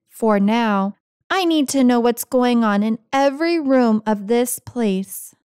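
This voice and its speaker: Woman as a dramatic grumpy cat, storybook read-aloud, slow-paced, dry close sound, sarcastic complaints. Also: fairly high pitch, smooth, no echo. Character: grumpy, dramatic, self-pitying pampered house cat. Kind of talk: picture-book read-aloud.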